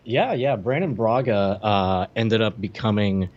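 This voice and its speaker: A man's voice: fairly low pitch, unhurried, dull audio. A man co-hosting a podcast, chatting casually about film and television with wry, joking asides. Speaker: podcast co-host.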